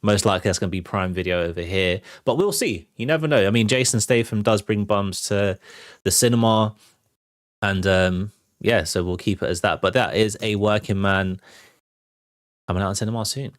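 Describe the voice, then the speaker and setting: A man's voice: lowish voice, moderate pace, no room sound, studio quality. A British man hosting a movie trailer show, giving casual reaction commentary.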